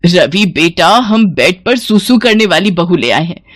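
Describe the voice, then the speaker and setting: A woman's voice: on the low side, lively intonation, measured pace, noisy background. Woman acting a dramatic older Indian-family mother-in-law. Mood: angry, indignant. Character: older mother-in-law, emotional, doting then scolding. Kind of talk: animated moral story voice acting.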